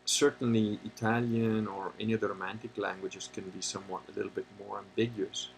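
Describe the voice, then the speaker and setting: A man hosting a livestream, talking casually and answering chat questions in a rambling, halting way with many pauses, and sometimes turning blunt and opinionated. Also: lowish voice, level tone, creaky, slight background noise, poor audio. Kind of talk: casual unscripted monologue.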